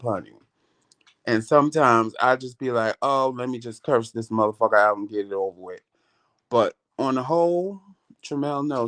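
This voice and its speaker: Middle-aged male vlog host, light voice, mid pitch, casual and animated storyteller, salty language. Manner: animated, swears freely, greets chat viewers by name.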